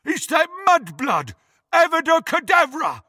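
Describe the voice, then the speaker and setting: A man voicing an enormous, slow-witted teenage thug, defiant and rough in grammar, in an audiobook story. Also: fairly high pitch, big pitch swings, bright, forceful tone, no echo, studio quality, heavily acted. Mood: yelling, savage.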